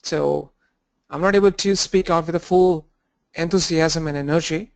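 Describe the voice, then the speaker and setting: A man with a cold and a blocked nose, teaching an online training lesson with halting fillers and restarts. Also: fairly high pitch, gravelly. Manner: halting, restarts and fillers, walks through screens. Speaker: instructor.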